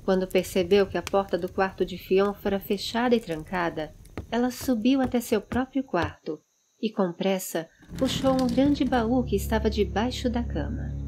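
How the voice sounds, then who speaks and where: A Brazilian woman narrating a dramatized fantasy audiobook in an expressive, measured storytelling manner. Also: mid-range voice, a little hiss or hum. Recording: music under the voice. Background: background music.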